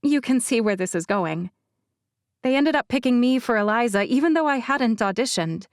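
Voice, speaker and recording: Audiobook heroine, played by a woman: guarded young actress, measured and confiding, slightly high pitch. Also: expressive, clean tone, no room sound, clean studio sound. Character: guarded, self-effacing young actress recounting her childhood. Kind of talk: audiobook character dialogue.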